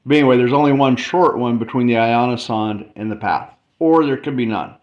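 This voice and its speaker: A man's voice: medium pitch, fairly quick. A man giving an informal tutorial walkthrough, thinking aloud as he explains a demonstration. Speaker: explainer presenting a math and radio demo. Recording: dull lo-fi mic with faint background noise.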